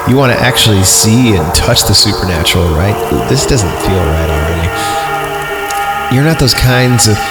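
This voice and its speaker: Low-voiced man in heavy echoing reverb. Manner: irreverent, joking, digressive, easily amused. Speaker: podcast host reading and reacting to horror stories. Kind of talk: casual comedic reading with rambling asides. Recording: echoey long reverb, music behind the voice. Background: music.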